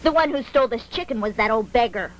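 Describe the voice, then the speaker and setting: A woman playing a cheeky, scrappy, starving street boy in a dubbed animated film, brash and defiant. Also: fairly high pitch, fast talker, natural voice, poor audio.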